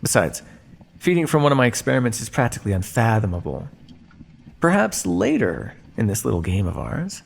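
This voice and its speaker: A man's voice: medium pitch, wildly swooping pitch, brisk, vocal fry, slight background noise. A man playing a smug, teasing vampire scientist captor in an ASMR roleplay, speaking with a theatrical, drawling, mock-polite and sardonic manner. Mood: smug, explanatory. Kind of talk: ASMR roleplay monologue addressed to listener.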